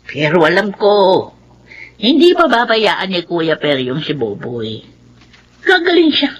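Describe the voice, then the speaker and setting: A woman's voice: on the low side, huge pitch swings, slow, slightly rough, exaggerated voice. An actress playing a devout, trusting elderly grandmother who speaks in an earnest, reassuring way in a radio drama.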